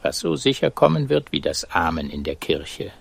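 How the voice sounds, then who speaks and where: An actor playing a deadpan, grumbling male space expedition member with a gravelly voice in satirical radio drama. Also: low-pitched, normal speed, no room sound, full character voice. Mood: wry grumbling.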